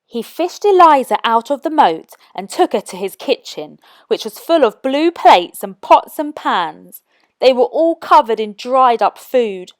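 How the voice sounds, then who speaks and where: A woman's voice: a little high, big pitch swings, unhurried. A woman presenting storytime, reading aloud expressively for children.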